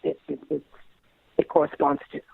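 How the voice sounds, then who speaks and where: A man's voice: moderate pace, no room sound, narrow, tinny audio. A man speaking reflectively as an interviewed playwright, hesitant and repeating words as he searches for phrasing.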